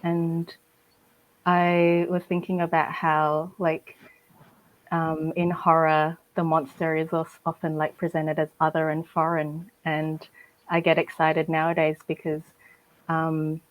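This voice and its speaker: A woman from Malaysia speaking as a writer on a festival panel, thoughtful and hesitant, with many pauses and fillers. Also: low-pitched, completely flat delivery, low-quality recording. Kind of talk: panel discussion.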